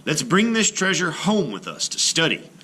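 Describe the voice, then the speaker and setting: A man voicing an excitable village weaver in a storybook, exclaiming dramatically. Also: on the high side, moderate pace, slight background noise, voice pushed up, heavily acted. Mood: awed, eager. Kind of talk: storybook read-aloud character voice.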